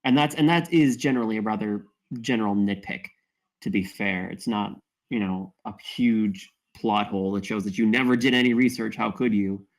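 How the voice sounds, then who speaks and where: A man giving wordy, measured feedback in a casual group discussion. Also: lowish voice. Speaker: table read participant giving feedback.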